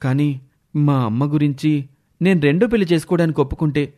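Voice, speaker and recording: A man acting a hesitant, meek young husband in a story, speaking haltingly. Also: fairly high pitch, dark tone, close to an everyday voice. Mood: pleading, evasive.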